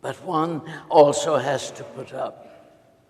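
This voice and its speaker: Male actor as humiliated teacher, gravelly, very slow, weighty pauses, reverberant hall, theatrical diary monologue. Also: on the high side, fairly even intonation, a little mellow. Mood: humiliated, weary. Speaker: stage reader in a dramatic reading. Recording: echoey hall with long reverb.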